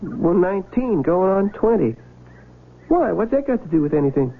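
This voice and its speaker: An actor playing a nervous, polite young Civil War soldier in a radio drama, with a creaky American voice and folksy, halting, stammering speech. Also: male voice, sits high, big pitch swings, exaggerated voice. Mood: worried. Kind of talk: 1970s radio drama acting. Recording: narrow, tinny vintage radio broadcast, music behind.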